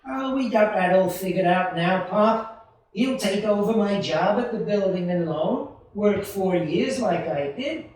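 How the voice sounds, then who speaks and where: A man's voice: high-pitched, expressive, unhurried, darkish tone, recorded from a distance, slight background noise. A man playing an eager, restless young man in a radio play, earnest and folksy, in an echoey hall. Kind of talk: 1940s radio play acting on stage.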